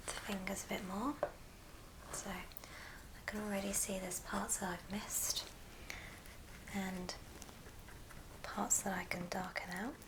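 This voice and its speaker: A woman speaks in a soft whisper over clearly audible background noise and small clicks. She talks through a hands-on craft in an ASMR style, at a middling pitch for a woman. Her short phrases come unhurriedly, with pauses between them. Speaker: ASMR craft video host.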